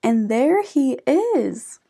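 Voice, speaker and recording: A woman voicing an excitable, curious little bear cub in an eager, expressive storybook delivery. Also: sits high, extremely slow, vocal fry, husky, pitched above the performer's own voice, exaggerated voice. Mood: excited.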